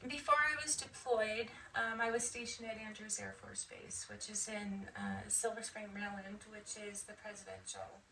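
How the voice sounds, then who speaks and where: A middle-aged American woman with a soft voice, casually telling personal stories as a veteran being interviewed. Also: medium pitch, steady tone, moderate pace, vocal fry, few pauses. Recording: distant mic with faint noise.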